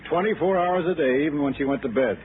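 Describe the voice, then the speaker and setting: A harried insurance company man, played by a male actor in a 1960s American radio play. He grumbles impatiently, his pitch jumping about in exasperation. The recording is thin and noisy, like an old broadcast. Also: expressive, fast, narrow, tinny audio, lightly acted. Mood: exasperated, wry. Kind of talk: 1960s radio drama acting.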